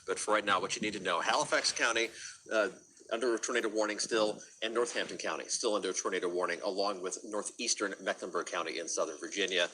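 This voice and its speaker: A man's voice: lowish voice, fast talker, bright, forceful tone, a little hiss or hum, poor audio. A man with an American accent giving live severe weather commentary as a TV forecaster.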